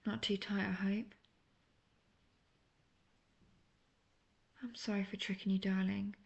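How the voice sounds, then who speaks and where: A woman playing a manipulative, seductive imprisoned witch in a fantasy audio roleplay, speaking intimately in a breathy near-whisper. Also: mid-range voice, flat delivery, a little fast, a little mellow, dull audio. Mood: smug, taunting.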